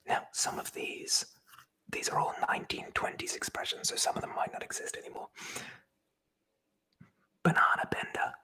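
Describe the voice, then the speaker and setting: Someone whispering in a breathy voice, reading aloud from a book in an ASMR style with chatty, informal commentary. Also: bright, forceful tone, rough recording. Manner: chatty, informal, swears casually. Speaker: presenter reading aloud from a tabletop RPG book.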